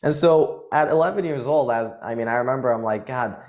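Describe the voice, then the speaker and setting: A man's voice: medium pitch, fast talker, darkish tone. A man from Iraq, a guest on a podcast, telling his life story in an earnest, driven way. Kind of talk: casual interview talk.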